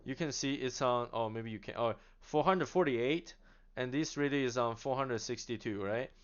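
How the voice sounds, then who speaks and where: A man casually demonstrating radio gear, chatty and animated, laughing now and then as he explains. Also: mid-range voice, moderate pace, clean tone, highs cut off. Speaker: product demonstrator. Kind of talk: casual product demo.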